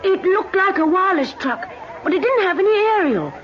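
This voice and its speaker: Very high-pitched, eager schoolboy. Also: female voice, very animated, some chatter behind. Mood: eager, polite. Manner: polite, halting as if remembering. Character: eager, observant, polite young schoolboy. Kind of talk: old time radio acting. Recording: muffled, noisy old radio recording.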